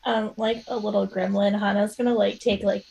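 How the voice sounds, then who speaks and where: A woman's voice: medium pitch, level tone, fairly quick, creaky, warm tone, poor audio. A woman playing a tabletop game, talking in a casual, chatty way. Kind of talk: casual chat.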